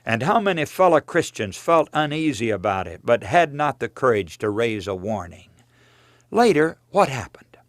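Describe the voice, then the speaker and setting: Male preacher, lively rising and falling pitch, earnest, admonishing, emphatic sermon narration, dry recording. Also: moderate pace, dry recording. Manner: earnest, admonishing, with rhetorical questions. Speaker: preacher reading own religious book. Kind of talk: sermon-like narration of written text.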